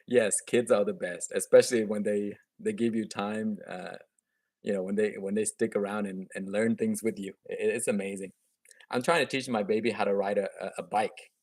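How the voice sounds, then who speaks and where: A man in his thirties speaks in a fairly low voice with a slight Vietnamese accent. He chats with viewers in a relaxed, upbeat way, often restarting his sentences. He talks into a webcam in a room at home, and the sound is dry and close. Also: moderate pace, no echo. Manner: chatty and upbeat, frequent restarts and chuckles. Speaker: gardening channel host. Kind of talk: casual live stream chat answering viewer comments.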